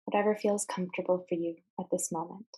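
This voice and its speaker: A woman leading a guided meditation, giving measured instructions in short phrases with deliberate pauses. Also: on the low side, steady tone, mellow, slightly rough.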